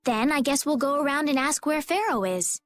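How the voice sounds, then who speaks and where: A woman voicing a polite, gentle but determined young princess in an animated role-playing video game. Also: high-pitched, moderate pace, no room sound. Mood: earnest, polite. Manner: polite, sincere, hesitant. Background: faint background music.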